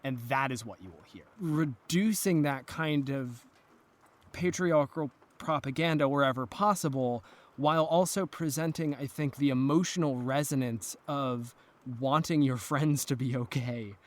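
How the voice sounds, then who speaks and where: Male panel guest, medium register, articulate and measured, earnest delivery. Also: medium pitch. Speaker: interview guest. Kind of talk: articulate, considered panel discussion.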